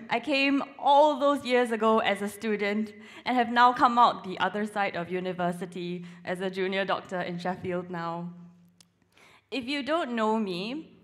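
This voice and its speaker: A woman in her twenties, originally from Singapore, speaks to a church congregation. She tells her stories with teasing, self-mocking humor, pitched in a middle range for a woman. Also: normal speed, fairly bright tone. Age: 20s. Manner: playful, self-mocking storytelling. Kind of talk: humorous sermon talk.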